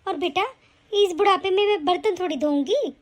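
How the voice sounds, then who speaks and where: A woman's voice: extremely high pitch, moderate pace. A woman playing a fretful old grandmother. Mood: gentle. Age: older. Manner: gentle, fretful. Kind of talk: comic family skit acting.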